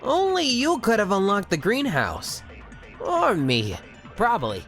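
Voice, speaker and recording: Woman acting sardonic young woman, very animated pitch. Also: a little slow, soft background music, exaggerated voice. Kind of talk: audio drama acting.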